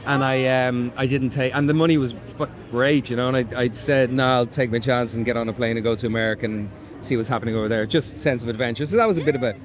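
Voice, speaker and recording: A man with an ordinary mid-pitched voice talks loosely and candidly. He swears and laughs easily as he tells stories. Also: steady tone, fast. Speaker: actor. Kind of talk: premiere interview.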